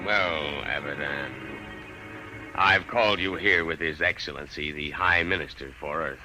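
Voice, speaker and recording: An actor playing a haughty, bigoted imperial governor, pompous and condescending, in an old 1950s radio drama. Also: male voice, a bass voice, unhurried, loud music behind the voice.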